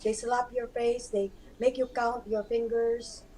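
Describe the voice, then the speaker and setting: A woman with a hoarse, scarred voice, earnestly explaining as a guest on a live stream. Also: fairly high pitch, flat delivery, measured pace, dark tone, poor audio.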